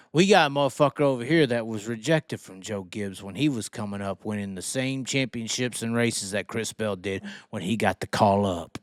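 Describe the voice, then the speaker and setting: Guy ranting about racing, swears a ton, repeats himself for punch, smooth clear voice, dry close sound. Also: on the low side, normal speed, no echo. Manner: brash, heavily profane, emphatic; repeats phrases for emphasis. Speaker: dirt racing commentator. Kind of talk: opinionated solo rant commentary.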